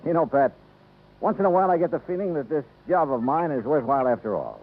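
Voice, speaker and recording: A tinny vintage radio recording carries a confident American male private investigator. His delivery is brisk and wisecracking, swinging freely in pitch in classic 1950s detective-drama fashion. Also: big pitch swings. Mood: tough, matter-of-fact. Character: wry, confident freelance insurance investigator, adult man. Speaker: lead actor narrating and playing detective. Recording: muffled old radio recording, narrow band, music.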